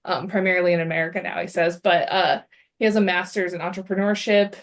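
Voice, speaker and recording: A woman introducing herself on a video call, relaxed and hesitant with many fillers. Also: fairly low pitch, quick pace. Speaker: illustrator and designer, co-host. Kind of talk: casual self-introduction.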